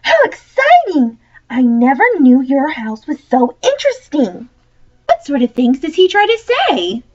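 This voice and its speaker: A woman's voice: very animated, normal speed, dull audio. A woman reading aloud voices a curious, outspoken young girl who speaks eagerly and a little indignantly. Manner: eager and indignant, pitched up and louder than the narration.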